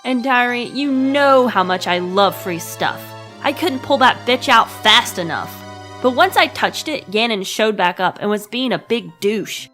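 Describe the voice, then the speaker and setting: A woman's voice: a little high, animated, a little slow, bright, forceful tone, soft background music. A young adult woman delivering scripted comedic narration like a diary entry, with a sarcastic, crude and emphatic manner. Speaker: host of a comedic gaming web series.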